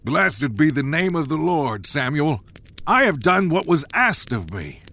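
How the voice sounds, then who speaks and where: A man's voice: fairly high pitch, sing-song, unhurried, voice pushed up, exaggerated voice. A man voicing a proud, blustering, insecure ancient king who swings between pride and pleading. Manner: loud and wavering, shifting between pride and pleading. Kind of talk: storybook drama reading.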